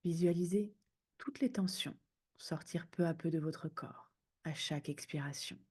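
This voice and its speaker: A woman guiding a sleep meditation in a soothing, hushed manner, speaking in unhurried phrases with pauses. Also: low voice, husky. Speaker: yoga nidra guide.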